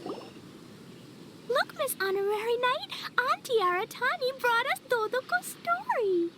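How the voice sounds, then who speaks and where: Little girl, video game, tiny high voice, excitable, lively swings. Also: takes it slowly, heavy background noise, heavily acted. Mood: cheerful, eager. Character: excitable, innocent little girl with a sweet nature.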